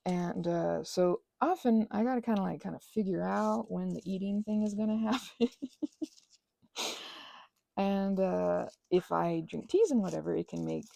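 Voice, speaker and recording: A woman host chatting casually in a meandering, self-deprecating ASMR craft ramble, with a creaky voice, often trailing off mid-thought. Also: on the low side, normal speed, mellow, dry recording.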